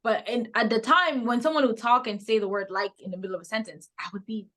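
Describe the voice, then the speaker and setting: A woman's voice: on the high side, fast. Cameroonian woman telling her story. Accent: Cameroonian English, softened toward American. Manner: reflective, animated storytelling with frequent laughs. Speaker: podcast guest. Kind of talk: casual interview conversation.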